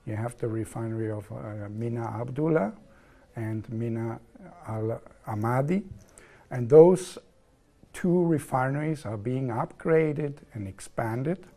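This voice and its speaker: Man in his 40s, German accent, TV studio guest, measured, explanatory. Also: mid-range voice, mellow. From southern Germany. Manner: measured, explanatory, repeats set phrases. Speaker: interview guest, engineer. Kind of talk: TV studio interview.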